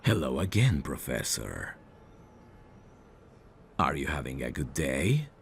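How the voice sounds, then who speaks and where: A man acting a teasing, menacing, flirtatious mafia boss in an ASMR roleplay, with a smug, gravelly, intimate drawl. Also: extremely low pitch, slight background noise, exaggerated voice. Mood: playful flirting.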